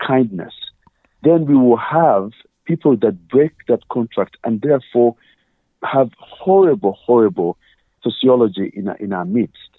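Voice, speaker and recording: A man's voice: medium pitch, lively intonation, measured pace, dry recording, phone-quality sound. A man with a Ugandan accent, a guest earnestly explaining his views with examples and quoted questions. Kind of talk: conversational interview.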